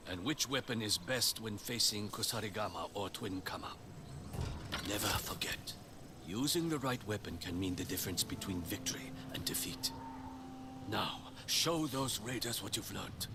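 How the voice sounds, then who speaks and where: An actor playing a seasoned spear master in a video game, a stern yet encouraging teacher with a measured, commanding, instructive manner. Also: male voice, fairly low pitch, lively intonation, lightly acted. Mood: firm, instructive. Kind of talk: video game character acting. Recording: clear noise of game ambience.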